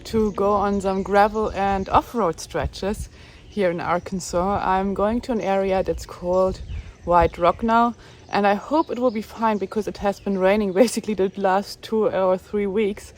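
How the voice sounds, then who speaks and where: A woman's voice: medium pitch, fairly even intonation, unhurried, a little hiss or hum. A woman who is not a native English speaker, vlogging an enthusiastic road trip in a chatty voice-over. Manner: chatty and enthusiastic, slightly non-native phrasing. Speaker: travel vlogger. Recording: guitar music playing underneath.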